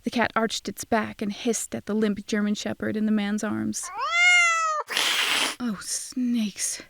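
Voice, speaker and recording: Wry, gravelly young woman narrator. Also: mid-range voice, level tone, slow, heavy background noise. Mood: wry, dry. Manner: sardonic, confiding. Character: wry, cynical young woman, a fake pet psychic. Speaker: first-person narrator and lead character. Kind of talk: scripted audio drama narration.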